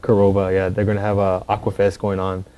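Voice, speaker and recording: A man's voice: low voice, completely flat delivery, brisk, lo-fi sound, crowd noise. A man from San Antonio, chatting as a guest on a podcast and rambling with many "you know" fillers. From San Antonio, Texas. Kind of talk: casual podcast chat.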